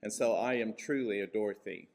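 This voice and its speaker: Low-voiced American man addressing a conference. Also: gravelly, darkish tone. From Kansas, USA. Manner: measured, reflective, with pauses for emphasis. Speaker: church moderator, guest speaker. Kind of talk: informal conference address, personal storytelling. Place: conference venue.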